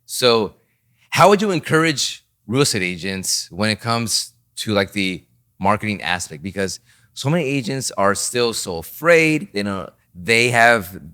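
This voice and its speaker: A man hosting a podcast, hyped and encouraging, cheering his guest on with quick interjections. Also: fairly low pitch, very animated, sharp tone. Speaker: podcast host.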